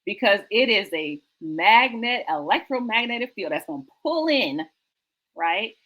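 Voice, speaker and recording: Woman, talk show host, bright forceful voice, conversational, enthusiastic, emphatic, repeats phrases for emphasis. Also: medium pitch, very animated, measured pace. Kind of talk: enthusiastic conversational book discussion.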